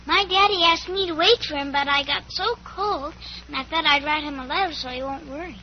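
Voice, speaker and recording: A woman's voice: high-pitched, fairly quick, a little hiss or hum, lightly acted. A woman playing a polite, innocent five-year-old girl, sweet and earnest, in an old-time radio drama. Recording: muffled old radio recording.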